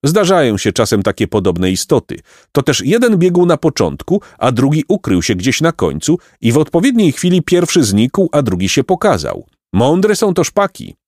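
A man's voice: fairly high pitch, wildly swooping pitch, normal speed, hardly any pauses, darkish tone, no room sound, clean studio sound, voice pushed up, exaggerated voice. A man voicing an amused learned man in a storybook, wry and explanatory.

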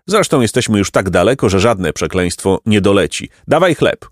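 A man's voice: lowish voice, very animated, moderate pace, no room sound, clean studio sound. A man voicing a brash, impatient young boy in a storybook, taunting and dismissive. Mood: mocking. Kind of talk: storybook character reading.

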